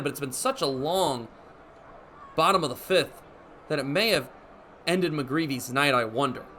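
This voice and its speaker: A man's voice: fairly high pitch, slight background noise. A young man calling baseball play-by-play for radio, speaking in short clipped phrases as live commentary. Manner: narrates action in short clipped phrases, building to excited calls.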